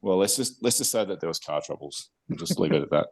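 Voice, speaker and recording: A man's voice: low voice, animated, quick pace, a little mellow, slightly rough. A man hosting a podcast, friendly and jokey in casual chat. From Australia. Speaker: podcast host.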